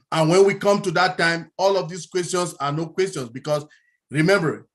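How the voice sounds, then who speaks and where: A man speaking up on an online call, earnest and repetitive, circling back to the same point. His voice is fairly high for a man. He delivers it steadily, without hurry. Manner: earnest, repetitive, plain phrasing. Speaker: meeting participant. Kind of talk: reassuring remarks on a call. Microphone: video call.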